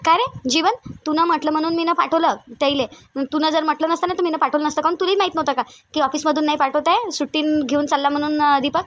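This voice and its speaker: A woman's voice: high voice, fast talker, a bit bright, lo-fi sound. A woman playing a stern, bossy mother-in-law in a family drama, scolding insistently in a Varhadi Marathi accent. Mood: accusing. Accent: Varhadi Marathi of Vidarbha. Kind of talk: amateur family comedy-drama dialogue.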